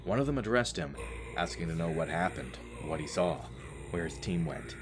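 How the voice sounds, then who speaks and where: A man's voice: low-pitched, expressive, normal speed, background noise. A man narrating an audio drama as a storyteller, with a wry, informal turn of phrase.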